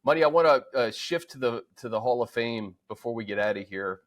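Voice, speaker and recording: A man's voice: mid-range voice, animated, a little fast. American man hosting sports talk. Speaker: sports podcast host.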